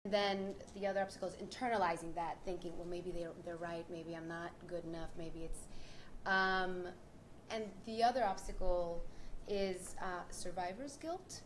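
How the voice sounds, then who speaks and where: Woman panelist from New York City, slightly low voice, reflective and earnest, faint room noise behind. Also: fairly even intonation, normal speed. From New York City, United States. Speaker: panelist, artist. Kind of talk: reflective personal account at a panel discussion.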